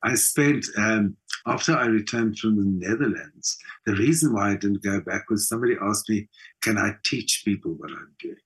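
A man's voice: fairly low pitch, normal speed, fairly bright tone, slightly rough, low-quality recording. A middle-aged man with a South African accent, chatting casually as an interview guest and telling stories, often checking that the listener follows. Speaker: skills trainer. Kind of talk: casual conversational storytelling.

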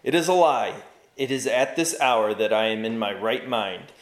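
A man's voice: lowish voice, animated, normal speed, roomy sound, lightly acted. A man voicing a tormented enchanted knight bound in a chair, pleading in grand old-fashioned speech and rising to frenzy.

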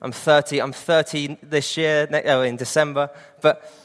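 A man's voice: on the high side, fairly even intonation, normal speed, long reverb tail. A man in his late twenties preaching a sermon and sharing an earnest personal testimony. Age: 20s.

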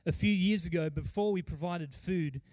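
A middle-aged male pastor delivers a sermon in a fairly high voice. He is earnest and emphatic, pausing deliberately and repeating key phrases. The sound is dry, with very little room echo. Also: a little mellow. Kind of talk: sermon with mission report and scripture reading.

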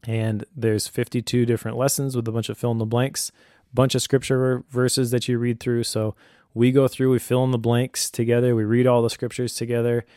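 A man's voice: mid-range voice, level tone, brisk, dark tone, no echo, studio quality. A man in his thirties chatting casually as a co-host and father, explaining his advice in a conversational way.

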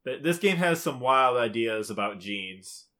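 A man's voice: medium pitch, expressive, measured pace, sharp tone. A man co-hosting a podcast, chatting casually about a video game in an informal, opinionated way. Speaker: podcast co-host.

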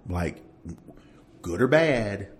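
A man with a fairly low voice talks as a podcast co-host. His delivery is casual and conversational. He is animated and emphatic, repeating words to land his point. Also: drawn-out words.

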